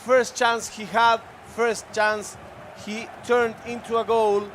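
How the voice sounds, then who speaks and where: A man's voice: extremely high pitch, flat delivery, slow, background noise. A male co-commentator who is a native Spanish speaker, giving upbeat color commentary on an esports football match and talking straight to the viewers. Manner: upbeat, addresses the chat directly.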